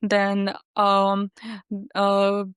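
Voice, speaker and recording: A young adult woman who stutters, speaking haltingly with many fillers as an interview guest. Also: medium pitch, monotone, smooth, no room sound, studio quality. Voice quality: stutter with repeated sounds and blocks. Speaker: guest, software engineer. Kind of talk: conversational interview.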